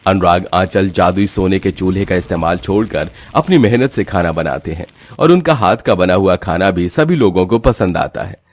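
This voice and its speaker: A man's voice: low voice, animated, moderate pace, hardly any pauses. A man narrating a scripted cartoon story.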